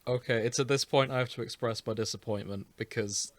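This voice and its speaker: A man's voice: mid-range voice, steady tone, fairly quick, no room sound. Easygoing man chatting, dry close sound. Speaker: reader in a group reading. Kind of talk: casual chat.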